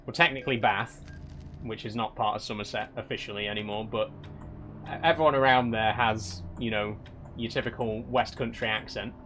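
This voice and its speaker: A man's voice: fairly low pitch, fairly even intonation, a little fast, a bit bright, a little hiss or hum. A young man from Yorkshire with a Yorkshire accent, chatting casually on a live stream as he reads out viewer comments, with many restarts. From Yorkshire, England. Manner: chatty, with frequent restarts and light wry teasing.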